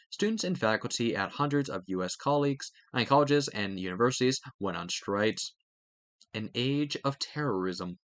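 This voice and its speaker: A man reading a textbook aloud for students, stumbling now and then over words in a somewhat halting delivery. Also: medium pitch, a bit bright. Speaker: reader of a study read-aloud.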